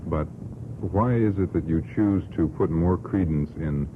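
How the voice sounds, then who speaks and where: A man's voice: low voice, a little slow. A man hosts a radio talk show, questioning skeptically in a dry, sardonic, mock-polite manner. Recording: noisy, tinny old radio recording.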